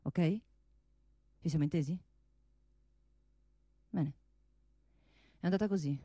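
A bossy talking handgun, sardonic stage storytelling, very dry close sound. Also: female voice, low voice, slow pace, warm tone, breathy edge, no room sound, heavily acted. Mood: indignant, bossy. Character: proud, touchy talking pistol, female, world-weary and sardonic. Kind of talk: theatrical monologue, radio theatre.

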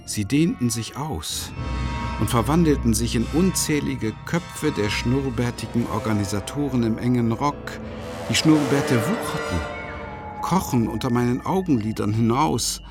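An actor playing a reserved, weary male teacher who narrates his own story in a measured literary radio drama style. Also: mid-range voice, music in the background, natural voice. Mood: troubled, tense. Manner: measured, terse in replies. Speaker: first-person narrator.

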